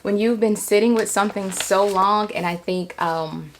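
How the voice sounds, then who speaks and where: A woman vlogging casually and reflectively, sounding nervous, with halting speech that circles back and repeats phrases for emphasis. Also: mid-range voice, a little hiss or hum, poor audio. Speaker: vlogger, writer and storyteller. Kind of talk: casual, reflective vlog talk.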